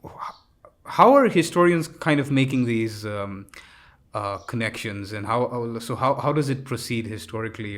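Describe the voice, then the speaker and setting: A man's voice: on the low side, fairly bright tone. A man hosting a casual conversation, speaking with many fillers and restarts as he gathers his thoughts. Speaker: podcast host.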